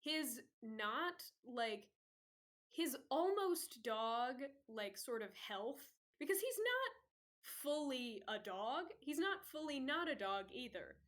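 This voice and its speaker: A woman's voice: high-pitched, big pitch swings, takes it slowly, fairly bright tone. A woman running a tabletop role-playing game, talking casually and rambling with lots of fillers and easy laughter. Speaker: game master.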